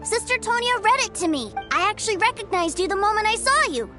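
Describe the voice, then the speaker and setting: A woman's voice: very high-pitched, lively intonation, quick pace, over music. A woman voicing an innocent, cheerful, affectionate little boy in a video game, with an eager childlike manner. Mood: innocent, frank. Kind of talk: video game character acting.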